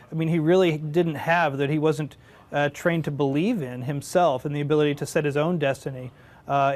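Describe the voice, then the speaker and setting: Higher-voiced man in a reflective interview. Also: darkish tone. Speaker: franchise developer. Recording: music playing behind.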